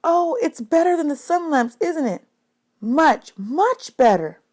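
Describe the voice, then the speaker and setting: A small, excitable schoolchild acted by a woman narrator with a high little voice. The child exclaims with open feeling, in the manner of a storybook read-aloud. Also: slow. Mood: delighted. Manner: exclaiming, eager, emotional.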